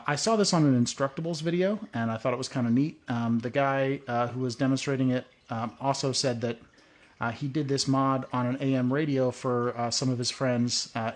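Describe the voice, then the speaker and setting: A man giving a casual, hands-on tutorial at a workbench, talking in a loose, rambling way with plenty of "uh" and "um". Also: medium pitch. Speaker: prepping channel host.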